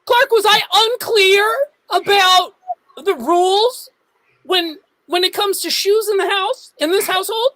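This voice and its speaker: A woman putting on a fussy housewife, indignant and scolding. Her voice is very high and bright, in an improvised comedy style. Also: measured pace, a little hiss or hum, poor audio.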